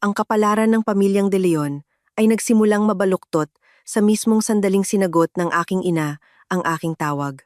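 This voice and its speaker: A woman's voice: medium pitch, brisk, a little mellow, no room sound, studio quality. A woman reading a scripted, dramatic first-person story as its narrator.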